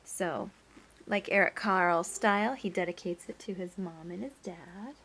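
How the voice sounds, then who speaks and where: A woman teacher reading a picture book aloud, pausing to explain and to ask the children questions. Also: lowish voice, a bit bright, breathy edge.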